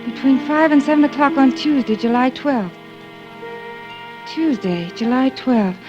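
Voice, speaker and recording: A woman's voice: sits high, lively intonation, unhurried, muffled recording. An actress playing an anxious, forgetful young working woman, fretting and questioning herself in an old radio thriller. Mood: puzzled, anxious. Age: young adult. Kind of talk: 1940s-50s radio thriller acting, inner monologue and dialogue. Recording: old radio drama, music underneath.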